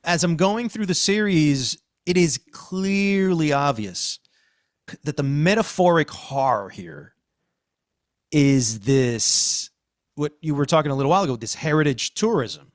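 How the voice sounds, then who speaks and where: A man hosting a podcast, talking through analysis conversationally with deliberate, halting phrasing. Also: a little high, animated, no echo, studio quality. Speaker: podcast host.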